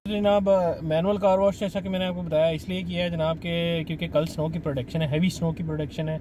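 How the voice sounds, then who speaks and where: A middle-aged man from Pakistan, chatting casually in his vlog as he explains things slowly and keeps addressing the viewer politely. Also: on the high side, level tone, background noise. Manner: chatty, explains slowly, says "janab" often. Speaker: vlogger dad. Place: outdoors at a car wash and in a car.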